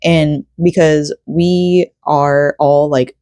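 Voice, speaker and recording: A young woman vlogs from home while she crochets, tired and rambling, with lots of fillers and self-corrections. Her tone is mellow and soft, and the recording is close and dry with no room sound. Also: sits low, steady tone, drawn-out words, dry recording. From South Korea, raised in Florida. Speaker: vlogger. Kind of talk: casual personal vlog chat while crocheting.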